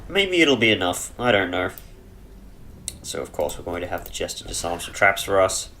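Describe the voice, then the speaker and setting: A man giving casual, rambling commentary over a dungeon game on a headset mic, chesty and clearing his throat, with dry humor. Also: low-pitched, very animated, moderate pace, sharp tone, slight background noise. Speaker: let's play gamer.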